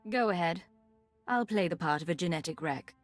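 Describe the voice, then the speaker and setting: A woman's voice: lowish voice, expressive, fairly quick, no room sound, faint music behind, lightly acted. A woman voicing a confident, deadly imperial agent in a video game, composed and businesslike. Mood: cool, businesslike.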